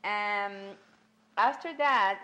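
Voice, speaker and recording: A middle-aged woman with a Cuban accent, a guest cook demonstrating a recipe in a home kitchen, speaking haltingly with many pauses. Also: medium pitch, bright, forceful tone, muffled recording. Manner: halting, many ums, non-native phrasing. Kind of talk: cooking demonstration.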